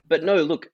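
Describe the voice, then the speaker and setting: A man's voice: a little mellow, dry recording, muffled recording. A man in his thirties with an Australian accent, talking casually and wryly as a podcast guest. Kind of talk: casual interview conversation.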